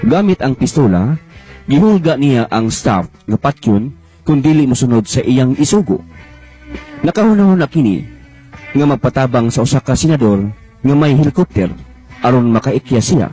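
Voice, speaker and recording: A man's voice: medium pitch, lively intonation, moderate pace, long pauses, warm tone, breathy edge, faint music behind. A man narrating a Cebuano radio drama in a dramatic scripted style.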